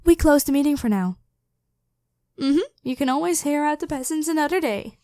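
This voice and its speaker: A woman's voice: sits high, animated, moderate pace, mellow, dry recording. A woman voicing a devoted, protective royal knight, head of the guard, with formal, deferential speech in a scripted ASMR roleplay. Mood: gently worried, pleading. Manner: formal, deferential address to a monarch.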